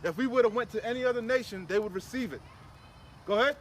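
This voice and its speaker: A high-voiced man delivers fiery, informal preaching on scripture. He is emphatic and slangy, often repeating himself for force, with traffic noise around him outdoors. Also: fast talker. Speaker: street preacher leading a scripture discussion.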